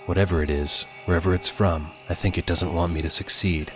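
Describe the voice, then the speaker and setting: Man playing a solemn, low-voiced male time traveler. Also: fairly even intonation, fairly quick, music in the background, natural voice. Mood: grave, resolute. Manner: formal, archaic, grave diction.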